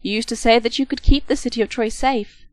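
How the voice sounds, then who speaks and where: A woman's voice: fairly high pitch, fairly even intonation, fairly quick, no room sound, natural voice. A woman reading the part of a proud male warrior prince who speaks with reproach in a declamatory way, in a storybook reading. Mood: scolding, proud.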